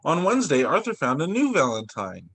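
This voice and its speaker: Man reading storybooks with very lively pitch. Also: a little fast, breathy edge. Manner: animated, emphatic storytelling for young children. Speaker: teacher reading picture books to students. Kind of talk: expressive children's story read-aloud.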